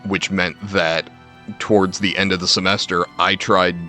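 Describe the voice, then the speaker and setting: A man's voice: sits low, little pitch movement, normal speed, sharp tone. A young man chatting casually to stream viewers, telling rambling, self-deprecating personal stories with casual swearing. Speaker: game livestreamer. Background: faint game music.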